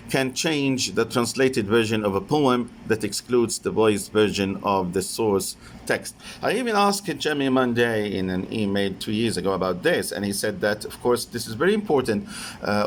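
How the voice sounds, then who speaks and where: An Egyptian-accented man, a native Arabic speaker, gives a conference lecture in a mid-pitched voice. He speaks haltingly, stopping and repeating himself as he works through his academic points. Faint noise sits behind his voice. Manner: halting, hesitant delivery with repeated phrases. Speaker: professor presenting an academic paper.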